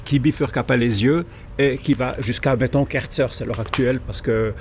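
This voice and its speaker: Man, Swiss French accent, mid pitch, digressive explainer, faint noise. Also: steady tone, normal speed, darkish tone. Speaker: guest, local rail historian. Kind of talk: radio interview.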